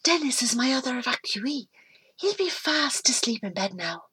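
A woman's voice: big pitch swings, measured pace. A woman reading aloud and voicing a kindly foster auntie who whispers, fusses and exclaims. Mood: gentle. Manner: hushed at times, then shrill exclaiming.

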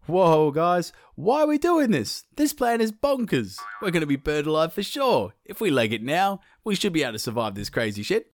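A man's voice: very animated, normal speed, few pauses, a little hiss or hum. A man voicing a nervous, panicky young brother who wants to run away. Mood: panicked. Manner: jittery, high-strung pleading.

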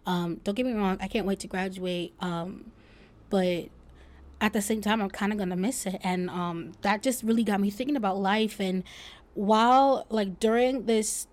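A woman's voice: mid-range voice, moderate pace, darkish tone. Young woman rambling casually. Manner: informal, rambling, peppered with "like". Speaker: podcast host. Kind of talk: casual solo podcast talk, personal reflection.

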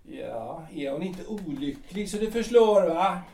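A man's voice: high voice, lively intonation, creaky, a little mellow, far from the mic. A man playing a sardonic bitter army captain. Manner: mocking, sardonic. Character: bitter sarcastic middle-aged artillery captain. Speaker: actor. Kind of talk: audio drama acting. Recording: roomy, off-mic sound.

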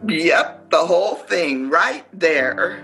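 A woman's voice: on the low side, expressive, takes it slowly, fairly bright tone, soft background music. A woman acting in a radio play as a matter-of-fact sibling who runs a family auction with playful, orderly calls. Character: brisk sibling playing auctioneer at family estate sale.

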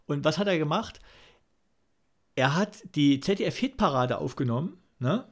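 A man's voice: fairly high pitch, lively intonation, a little slow. A man in his forties from East Germany telling anecdotes in casual, colloquial podcast talk, with a wry touch. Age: 40s. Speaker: podcast co-host.

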